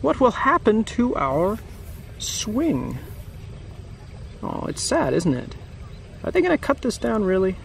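Slow-reading man with a slightly creaky voice. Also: sits high, wildly swooping pitch. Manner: slow, deliberate, simple phrasing with questions to young listeners. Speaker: host reading a picture book to children. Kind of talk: read-aloud storytelling with chatty asides. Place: outdoors in a grassy, wooded yard. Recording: outdoor camera audio with background noise.

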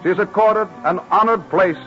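1930s radio narrator, higher-pitched man, muffled recording. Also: fairly bright tone, soft background music. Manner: formal, measured delivery.

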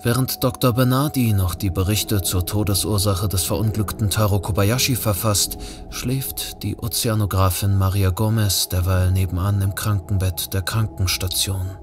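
Low-voiced male thriller narrator over music. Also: fairly even intonation, normal speed, hardly any pauses. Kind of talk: scripted audio drama narration.

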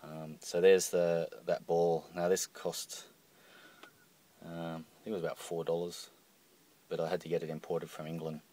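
Low-voiced man fumbling through a boat repair. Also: little pitch movement, normal speed, dark tone, slight background noise. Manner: hesitant, many ums and restarts. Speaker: boat owner showing a repair. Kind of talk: casual how-to walkthrough. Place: on a boat cockpit, indoors at the door track. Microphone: handheld phone camera.

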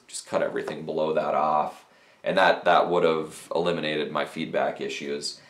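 Low-voiced guy in his 30s chatting about pa gear, lots of "you know" and restarts, echoey little office. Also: fairly bright tone. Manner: conversational, technical, frequent fillers and restarts. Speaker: audio gear reviewer. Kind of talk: casual gear review to camera. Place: small cluttered office with speakers and mixers. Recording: roomy small-office echo.

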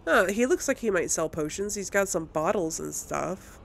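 A woman's voice: on the low side, lively intonation, normal speed, vocal fry, slight background noise. A woman giving casual let's play commentary, rambling and thinking aloud as she goes. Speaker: gamer, channel host.

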